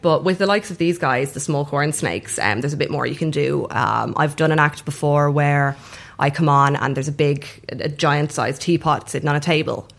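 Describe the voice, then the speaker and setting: A woman's voice: low voice, normal speed, creaky. A woman with an Irish accent chatting casually as an interview guest, telling stories in a chatty way and acting out bits of dialogue. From Ireland. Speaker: guest, snake performer.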